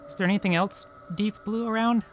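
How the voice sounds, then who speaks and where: A man's voice: high voice, steady tone, brisk, soft background music. A man chatting casually as a player in a tabletop role-playing game.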